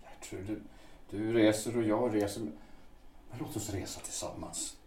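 An actor playing a lovelorn, brooding man who speaks in hesitant, halting, earnest phrases, heard from a distance in an echoey room. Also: lowish voice, mellow, lightly acted. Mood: longing. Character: brooding, lovelorn middle-aged male wanderer.

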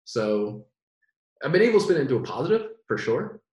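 A man's voice: mid-range voice, brisk. Rambling young man in an echoey room. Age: 20s. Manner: rambling, animated, many restarts. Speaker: guest. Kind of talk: casual conversation.

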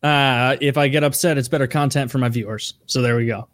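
A man's voice: medium pitch, steady tone, fast, fairly bright tone. A man bantering casually in a blunt, joking way as a podcast co-host.

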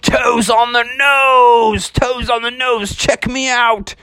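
A man's voice: high-pitched, lively intonation, slow, fairly bright tone, no room sound, clean studio sound, pitched above the performer's own voice, heavily acted. A man voicing a jolly, showy, protective father bear in a children's story. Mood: jolly, showing off.

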